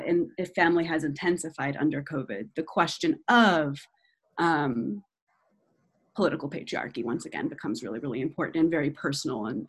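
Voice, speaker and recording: A young woman with a low-pitched voice leads an academic interview. She reads quotations aloud and frames her questions in a measured, reflective way. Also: breathy edge.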